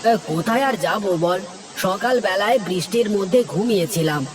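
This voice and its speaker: A man voicing a lazy, grumbling village husband in an animated fairy tale, with a whining, exclamatory manner. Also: high-pitched, moderate pace, noisy background. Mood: chatty.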